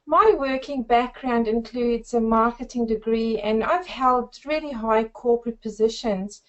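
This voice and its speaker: A woman in her forties from South Africa with a South African accent, giving an effusive, upbeat sales pitch on a group call. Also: unhurried, muffled recording. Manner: effusive, upbeat, addresses listeners as friends. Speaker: network marketing presenter.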